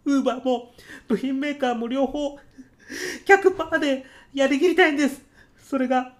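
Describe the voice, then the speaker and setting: A man's voice: very high-pitched, steady tone, normal speed. A man acting as an earnest, overzealous young salesman who moonlights as a food courier in a comic radio drama, speaking in eager, casual-polite salaryman style. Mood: tearful, frustrated.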